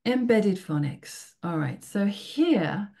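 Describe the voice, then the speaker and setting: A woman's voice: mid-range voice, animated, slow, creaky, darkish tone. British lady teacher on a video call, upbeat and encouraging, keeps saying okay. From United Kingdom. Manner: friendly, encouraging, checks in with "okay?" often. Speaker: teacher trainer giving a workshop. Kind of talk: online presentation to teachers.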